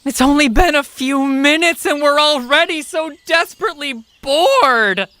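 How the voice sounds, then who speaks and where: A woman's voice: high-pitched, a little slow, pushed and bright, dry recording. A woman playing a dry, practical young royal in a comic stage-style audio drama. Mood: resigned.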